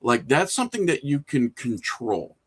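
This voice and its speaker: A man's voice: mid-range voice, very animated, normal speed, fairly bright tone, husky. A middle-aged man talking casually and reflectively as an interview guest, telling things in an informal, anecdotal way with a bit of chuckling. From small rural town. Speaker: podcast guest, horror filmmaker and writer.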